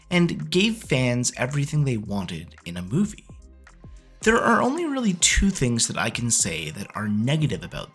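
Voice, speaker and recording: A man delivers scripted review narration with a lively, swinging intonation. His mid-pitched voice has a husky edge and an opinionated, casual manner, with music playing underneath. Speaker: video reviewer. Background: background music.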